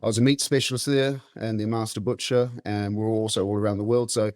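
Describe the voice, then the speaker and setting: A middle-aged New Zealand man talks in a casual, conversational way. His voice sits on the low side. The recording is dry and close, with hardly any room sound. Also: fairly even intonation, quick pace, darkish tone, no room sound. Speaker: interview guest, meat specialist and butcher.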